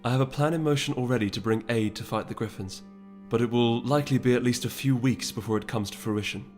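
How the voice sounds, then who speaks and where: A man voicing a composed, weary pony princess with measured, formal speech in a fantasy audiobook. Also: mid-range voice, a little mellow, soft background music, lightly acted. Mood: calm. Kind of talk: dramatic audiobook character voice.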